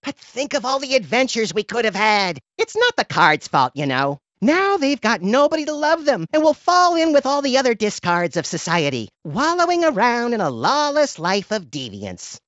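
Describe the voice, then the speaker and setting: Small, trigger-happy game rabbit: impish, theatrical, hugely swooping delivery, slightly dull audio. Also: male voice, very high-pitched, normal speed, few pauses, no room sound, full character voice. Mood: mock wistful. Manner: impish, theatrical, mock-innocent, swooping between glee and deadpan. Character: hyperactive, gleefully violent little cartoon rabbit vigilante. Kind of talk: cartoon video game voice acting.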